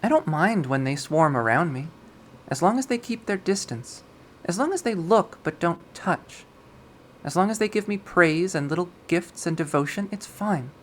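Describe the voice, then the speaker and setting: A reader playing a possessive young woman narrates a dark visual novel in a measured, wistful tone. She sounds obsessive and coldly cruel, at a middling pitch. There is faint noise in the recording. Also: expressive, lightly acted. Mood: chillingly matter-of-fact. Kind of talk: dramatic visual novel readthrough.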